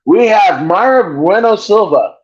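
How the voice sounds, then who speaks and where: A man's voice: high-pitched, expressive, unhurried. A man hosting a podcast in casual chat, profane and rambling, joking as he goes. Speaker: podcast host. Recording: dull lo-fi sound.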